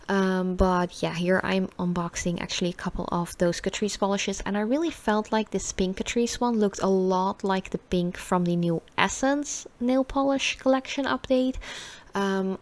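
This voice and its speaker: A young Dutch woman with a Dutch accent, chatting casually and rambling through a beauty unboxing vlog, with lots of fillers. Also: mid-range voice. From Netherlands. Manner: chatty, many fillers, soft asides. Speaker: beauty vlogger.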